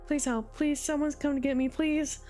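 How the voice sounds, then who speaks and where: A woman's voice: high-pitched, little pitch movement, normal speed, dark tone, in a whisper, soft background music, pitched above the performer's own voice, close to an everyday voice. A woman voicing a trapped young man, sobbing and begging in hysterical panic. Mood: desperate pleading.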